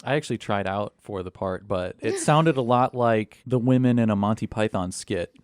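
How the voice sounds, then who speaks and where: A man's voice: on the low side, moderate pace, dry recording. A man from a cast of players, joking with dry wit in a casual panel chat.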